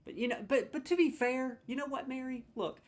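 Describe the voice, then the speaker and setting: A man's voice: very high voice, fairly quick. A man hosting a comedic video, ranting sarcastically with mock outrage as he scores game voice lines.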